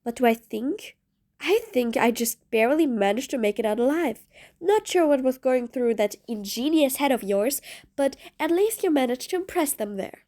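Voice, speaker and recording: A high-pitched female supervillain, acted by a woman for an audio roleplay. She is bossy and sardonic, mean yet patient, and her menace comes with a grudging fondness for the one she addresses. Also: lively intonation, normal speed, dark tone. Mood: wry, grudging.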